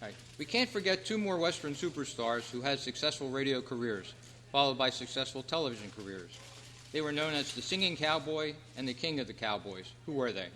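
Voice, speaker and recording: A man's voice: mid-range voice, expressive, brisk, sharp tone, slight background noise. A man hosting a live quiz and making club announcements to a room of people. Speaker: quiz host at a club meeting. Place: large meeting room at a convention. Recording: echoey hall, long reverb.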